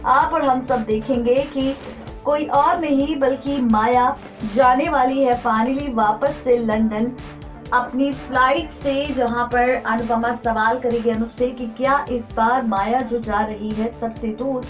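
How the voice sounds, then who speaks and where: A woman with an Indian accent narrates entertainment news in a fairly high voice. Her scripted delivery is emphatic and dramatic, like a teaser for TV serial updates. Music plays faintly behind her. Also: fairly even intonation, slow. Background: background music.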